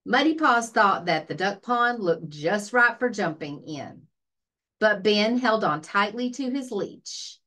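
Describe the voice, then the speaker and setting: A woman librarian reads a children's story aloud. She takes her time and lets her pitch rise and fall expressively, shaping each phrase for young listeners. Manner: expressive storytelling with deliberate pacing. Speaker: librarian reading children's picture books aloud.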